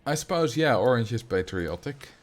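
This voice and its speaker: A man's voice: mid-range voice, animated, normal speed, soft background music. A Dutch man with a mild Dutch accent, chatting casually through a game livestream and answering his chat. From Netherlands. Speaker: streamer. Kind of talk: casual livestream commentary while playing a game.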